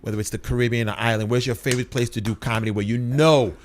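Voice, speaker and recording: A man's voice: medium pitch, fairly even intonation, fast, a little hiss or hum. A man hosting a radio studio interview, drawing out his guest with frequent short affirmations. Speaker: radio host.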